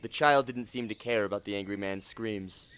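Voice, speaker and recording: A man's voice: on the low side, normal speed, a bit bright, a little hiss or hum. A man reading scripted story narration for an audio drama.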